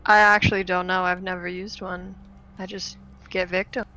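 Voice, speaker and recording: A woman's voice: fairly low pitch, little pitch movement, moderate pace, pushed and bright, poor audio. A woman playing a blunt, nonchalant female vampire in a tabletop roleplaying game, matter-of-fact and flippant.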